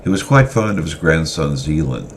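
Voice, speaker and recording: A man reading a story aloud in an unhurried way, with frequent hesitant fillers, over faint background noise. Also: very deep voice, animated. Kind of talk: semi-dramatic story reading.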